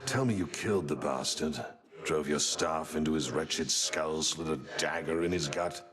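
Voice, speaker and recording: An actor playing a gruff, roguish, battle-hardened ancient Greek warrior in a video game, with a gravelly, raspy voice. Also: male voice, extremely low pitch, normal speed, a bit bright. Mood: grim, eager. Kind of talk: video game character acting.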